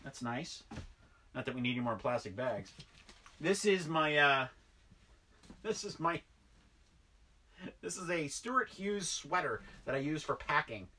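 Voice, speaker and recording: A man's voice: fairly high pitch, lively intonation, measured pace, pauses often, sharp tone. A man in his forties rambles chattily through a tub of old collectibles in his basement, often correcting himself. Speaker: toy collector vlogger. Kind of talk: casual unboxing chat. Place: basement toy room, indoors.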